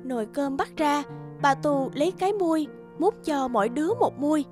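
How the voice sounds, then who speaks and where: Give me a high-voiced female storyteller. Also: lively intonation, normal speed. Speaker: narrator. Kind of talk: scripted fairy-tale narration. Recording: music behind the voice.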